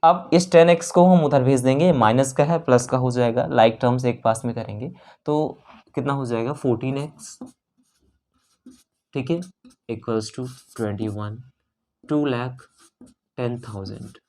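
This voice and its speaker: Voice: mid-range voice, slow pace, long pauses, dark tone. An Indian maths teacher explains an exam problem step by step, repeating phrases and figures, mixing in English terms and often checking in. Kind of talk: exam-prep maths lesson, working through a problem step by step.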